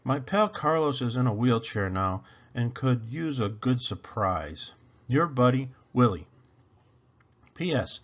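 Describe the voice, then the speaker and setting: A man's voice: medium pitch, fairly bright tone, muffled recording. A man voicing an earnest, hopeful young boy from the city in a storybook.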